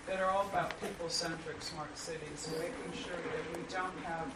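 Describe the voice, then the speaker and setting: A panelist speaking in a measured, deliberate way at a roundtable discussion. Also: female voice, lowish voice, fairly even intonation. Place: conference room. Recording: echoey room, distant mic, loud background noise.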